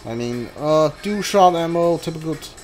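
A man doing casual playthrough commentary, halting and a bit puzzled as he reads game text aloud. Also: fairly high pitch, lively intonation. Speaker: gameplay streamer. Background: game music.

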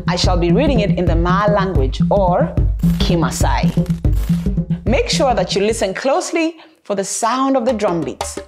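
A woman in her thirties with a Kenyan accent, a native Maasai speaker, reading and presenting a children's story in an expressive, deliberate way. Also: medium pitch. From East Africa. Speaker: storyteller, host. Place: studio set, small furnished room with bookshelves, indoors.

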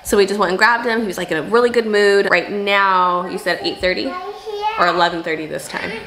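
A young woman, a stay-at-home mom, talking casually to camera in a home video diary, hoarse and rambling with many fillers. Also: medium pitch, fairly even intonation, brisk, a crowd in the background. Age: young adult. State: hoarse, losing her voice. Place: home, bedroom and kitchen.

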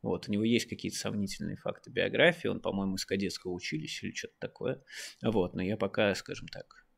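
A man's voice: fairly low pitch, fairly even intonation, quick pace, creaky, slightly rough. A man co-hosting a live stream, talking in a rambling, ironic way with many fillers. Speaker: stream co-host. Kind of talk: casual political chat.

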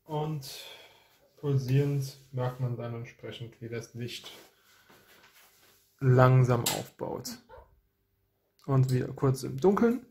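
A man's voice: mid-range voice, expressive, slow pace, pauses often, darkish tone, slight background noise. A man hosting an unboxing, giving casual product review commentary.

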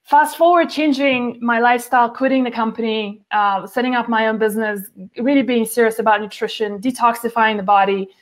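A woman's voice: fairly high pitch, fairly even intonation, fairly quick, few pauses. A woman in her forties, a guest on a video call interview, talking chattily with many fillers and restarts. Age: 40s. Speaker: guest, nutrition author. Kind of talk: casual interview talk. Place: home, by a window with plants behind.

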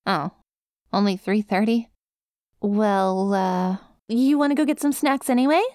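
A woman's voice: medium pitch, unhurried, a little mellow, no echo, studio quality, lightly acted. A woman voicing a friendly, scatterbrained dog-girl student in an ASMR roleplay, with a casual, rambling, easily sidetracked manner. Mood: apologetic, friendly. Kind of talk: ASMR roleplay, one-sided conversation with listener.

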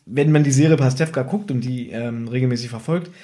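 A man's voice: medium pitch, fairly quick, dark tone. A man co-hosting a podcast in casual, playful, storytelling chat, sharing one microphone in a living room. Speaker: podcast co-host. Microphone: one microphone shared by two people.

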